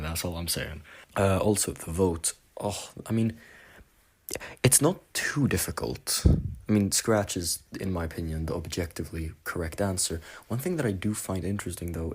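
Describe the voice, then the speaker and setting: Husky, low-voiced man in a profane rant. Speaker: listener sending a voice message. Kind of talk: casual, profane voice message.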